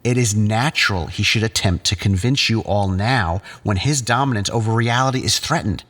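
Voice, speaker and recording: A man playing a controlling psychiatrist in an audio drama, speaking in a measured, clinical, patronising way. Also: on the low side, fairly bright tone. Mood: stern, coaxing. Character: condescending, controlling male psychiatrist leading group therapy.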